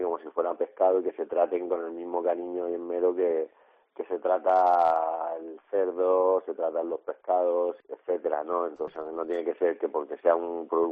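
A man's voice: low-pitched, phone-quality sound. A middle-aged Spanish man from La Mancha, a chef being interviewed, talking casually and hesitantly with many fillers and restarts. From La Mancha, Spain.

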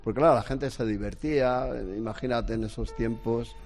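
A man's voice: medium pitch, brisk, a little mellow, faint music behind. A man in his sixties from Spain, a guest telling family stories in a casual, hesitant, rambling way. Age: 60s. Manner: rambling, hesitant storytelling with restarts. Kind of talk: casual chat.

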